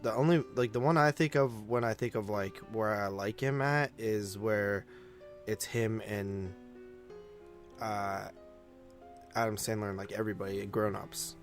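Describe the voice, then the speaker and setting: A man co-hosting a movie podcast, talking casually in a halting, noncommittal way. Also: on the low side, steady tone, soft background music.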